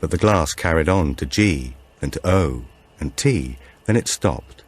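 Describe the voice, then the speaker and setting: Low, husky male thriller narrator. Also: expressive. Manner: measured, suspenseful storytelling. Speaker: audiobook narrator. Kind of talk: dramatic scripted narration of a thriller.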